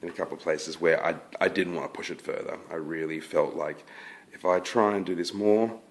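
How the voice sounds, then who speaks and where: A low-voiced man talks through his finished scale model in an easy, unhurried way. His voice is croaky because he has been sick, and he stumbles into fillers and restarts as he thinks aloud. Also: a little hiss or hum. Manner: relaxed, hesitant, many fillers and restarts. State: croaky from illness. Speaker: scale model hobbyist presenting his build. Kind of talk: casual review to camera.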